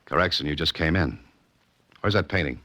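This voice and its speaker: Very deep-voiced hard-boiled sleuth. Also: male voice, a little fast, pushed and bright, heavily acted. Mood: stern, sardonic. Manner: clipped, sardonic, hard-boiled. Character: tough, wry male amateur sleuth narrating his case. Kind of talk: 1940s radio mystery acting and narration. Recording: old radio recording with music.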